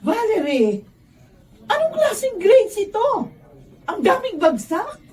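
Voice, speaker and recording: High, swooping scolding Filipina mother. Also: sing-song, slow pace, a little mellow, faint music behind, full character voice. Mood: scolding, disappointed. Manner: stern, reproachful. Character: scolding, disappointed middle-aged mother. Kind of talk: Filipino radio drama acting. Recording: distant from the microphone.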